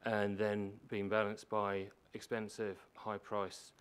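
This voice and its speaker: A man with a low voice presenting a prepared submission at a public meeting, explaining and repeating points for emphasis. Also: monotone, slow pace, clean tone. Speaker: housing organisation manager presenting to a council. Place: council meeting chamber. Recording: meeting room with faint background noise.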